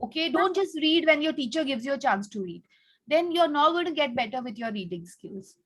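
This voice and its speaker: A woman's voice: high-pitched, animated, fairly quick, a bit bright. A woman with an Indian accent leading an online class for children, talking to them in an encouraging, instructive way over a video call. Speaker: teacher hosting an online kids' class. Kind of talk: interactive class talk to children.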